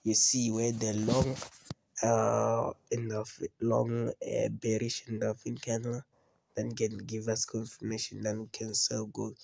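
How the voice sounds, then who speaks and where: A man with a cold talks through a phone screen recording in a casual tutorial. His voice is gravelly and husky, with a dark, mellow tone. He keeps repeating phrases and checking "you understand?". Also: fairly low pitch, completely flat delivery, unhurried, dry recording. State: has a cold. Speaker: trading video host.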